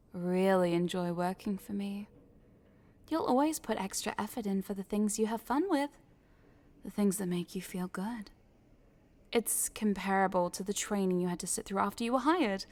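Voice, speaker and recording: A woman plays a scheming female corporate boss in an intimate audio roleplay, speaking straight to the listener at a medium pitch. She is smug and controlling, with a businesslike tone that turns teasing and condescending as she coaxes. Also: close to an everyday voice. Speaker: voice actor.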